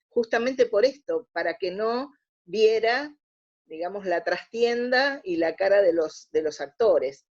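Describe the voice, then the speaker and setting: A woman with an Argentine accent, speaking as an interview guest, telling anecdotes in a halting, reflective way. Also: medium pitch, darkish tone. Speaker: interview guest, radio theatre historian. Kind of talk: conversational interview, anecdotal storytelling. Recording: dull lo-fi audio.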